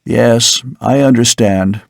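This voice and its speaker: A man's voice: mid-range voice, slow, creaky, no echo, clean studio sound. Man voicing a weary, brooding old doctor. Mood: grave. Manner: soft, tired, grave. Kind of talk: audiobook character reading.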